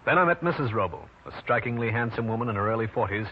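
A man's voice: medium pitch, expressive, quick pace, lightly acted. Man playing a wry hard-boiled detective, noisy old radio. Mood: wry narrating. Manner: dry, self-assured. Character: wry, hard-boiled male New York private detective. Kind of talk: old-time radio detective narration and acting. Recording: dull, noisy vintage radio recording.

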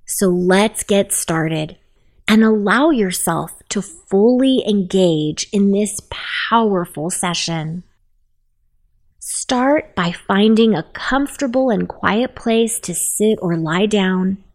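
A woman leading a guided meditation in a soft, soothing, unhurried voice. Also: medium pitch, expressive.

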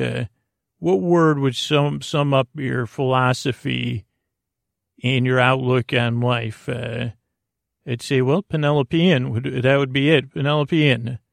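Creaky-voiced man rambling gently through a monologue. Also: mid-range voice, dry recording, studio quality. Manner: meandering, halting, with stammered false starts and repeated words; whimsical, self-deprecating silliness. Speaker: host of a bedtime story podcast. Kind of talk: rambling sleep-podcast monologue.

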